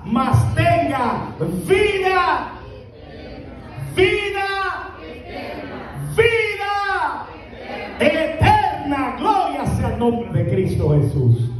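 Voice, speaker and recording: A man preaching an impassioned sermon to a congregation, shouting and drawing out words for emphasis. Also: high-pitched. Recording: very echoey, from far off.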